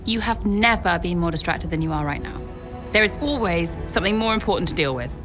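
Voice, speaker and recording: A woman's voice: lowish voice, lively intonation, fairly quick, over music, natural voice. An actress playing a blunt, guarded young female racing driver in a video game cutscene, speaking in a curt, challenging, wry way. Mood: hurt, confrontational.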